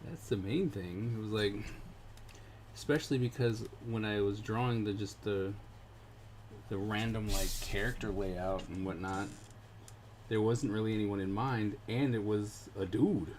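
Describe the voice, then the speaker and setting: A man casually chatting on a livestream as he draws, easygoing and thinking out loud in halting phrases. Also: fairly low pitch, a little hiss or hum. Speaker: stream host drawing comics. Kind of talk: casual chat while drawing.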